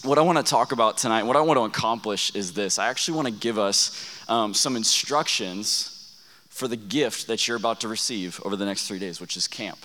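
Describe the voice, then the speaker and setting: A young man preaching an energetic youth camp sermon, hyping up the crowd and calling for responses, his voice a bit worn. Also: fairly low pitch, fairly quick. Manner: hyped, calls for crowd responses. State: losing his voice. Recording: long hall reverb.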